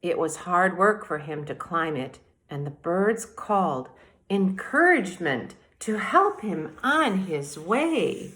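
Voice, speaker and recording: A woman teacher reading aloud and explaining to young children in a deliberate, expressive way, speaking to them directly. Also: on the low side. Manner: deliberate, addresses children directly with questions and asides. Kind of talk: expressive children's read-aloud and mini lesson.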